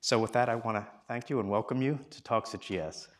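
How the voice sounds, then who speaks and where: A man hosting an on-stage talk, formally introducing a guest and asking measured questions before a live audience. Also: mid-range voice, a little hiss or hum. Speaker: interview host and moderator.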